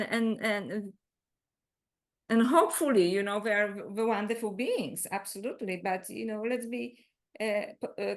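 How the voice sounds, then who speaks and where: A woman from Poland speaks, mid-pitched and conversational. She talks as an interview guest, lively and searching, circling her point with restarts and little "you know"s. She sounds like she is joining over a remote call. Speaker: guest author.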